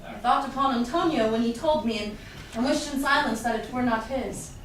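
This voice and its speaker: Lady as a gossipy shakespeare gentleman, high voice, expressive, super echoey and a bit far. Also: a little fast, close to an everyday voice. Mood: confiding. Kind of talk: Shakespearean staged reading, theatrical storytelling. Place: live staged reading in a reverberant room. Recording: very echoey room, distant, faint noise.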